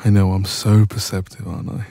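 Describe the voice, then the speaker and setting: A man playing a dominant, cocky young ex-boyfriend in an intimate audio roleplay, soft and breathy, smug and casual, close to the microphone. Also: lowish voice, no echo. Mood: teasing, subdued.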